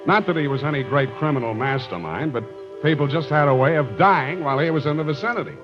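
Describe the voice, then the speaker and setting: An actor playing a sardonic, wisecracking private detective in a 1940s-style radio drama, with narration and banter. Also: male voice, a little high, animated, fast, natural voice. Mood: sardonic narrating. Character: wry hard-boiled male private detective narrating his case. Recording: dull vintage radio recording with music.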